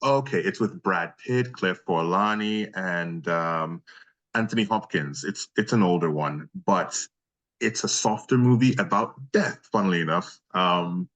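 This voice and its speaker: A man's voice: low voice, a little slow, fairly bright tone. A man talking casually as the guest in an interview, a voice actor by trade. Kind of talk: casual chat.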